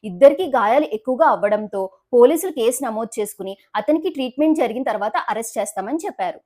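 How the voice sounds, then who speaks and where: A woman news presenter reads scripted stories in a high voice. She moves very quickly from sentence to sentence. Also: lively intonation, mellow.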